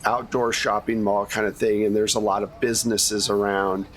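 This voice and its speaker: A man's voice: fairly low pitch, little pitch movement, normal speed, a bit bright. A man running a tabletop game as game master, narrating scenes off the cuff in a casual, conversational way.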